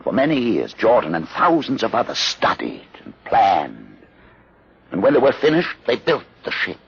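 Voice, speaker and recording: An actor playing a grave, learned leader of outcasts in a solemn, measured 1950s radio drama style. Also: male voice, fairly low pitch, huge pitch swings, vocal fry, husky, heavily acted. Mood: solemn narrating. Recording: dull lo-fi old radio recording.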